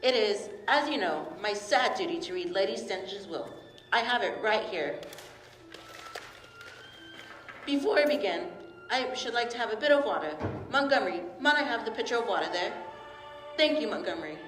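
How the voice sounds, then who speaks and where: A woman playing a formal, fussy male family lawyer in a radio drama, speaking in a measured, proper way. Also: mid-range voice, lively intonation, fairly bright tone, natural voice. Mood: solemn. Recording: echoey hall, faint music behind.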